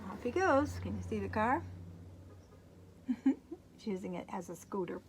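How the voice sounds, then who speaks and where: A woman reading a silly picture book aloud to children, playful and chuckling. She stops for little asides to her young listeners. Her voice sits in a middle range, with a faint steady hiss underneath. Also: medium pitch, a little slow. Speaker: storytime reader, teacher.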